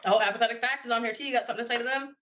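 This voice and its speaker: Woman, quick challenging talker, mid-pitched, casual phone argument, dull lo-fi call audio. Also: steady tone, fairly bright tone.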